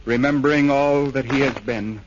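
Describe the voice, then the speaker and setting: Male actor as devout war veteran, earnest, mid-pitched, solemn 1930s radio drama, dull hissy recording. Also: steady tone, normal speed, lightly acted. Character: grieving, devout war veteran hiding from police.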